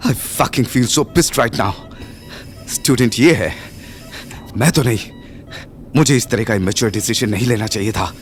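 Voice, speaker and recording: A man's voice: mid-range voice, unhurried, long pauses, lightly acted. A man acting a young professor, intense, protective and teasing, in a romantic audio drama. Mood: regretful, frustrated. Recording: music under the voice.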